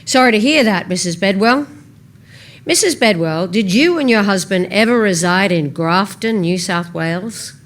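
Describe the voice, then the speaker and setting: A woman's voice: medium pitch, animated, normal speed, close to an everyday voice. A woman voicing a polite, official male process server in a storybook reading, formal and businesslike.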